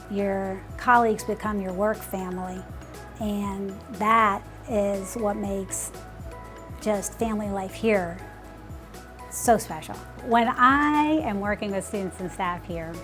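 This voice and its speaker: A woman in her forties, a school principal, talking earnestly and reflectively in an interview, often saying "like" and "you know". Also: mid-range voice. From United States.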